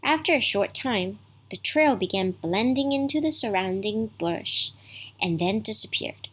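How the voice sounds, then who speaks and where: Woman reading a kids bedtime story, takes it slow, husky voice. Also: medium pitch, animated, a bit bright. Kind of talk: scripted bedtime story narration.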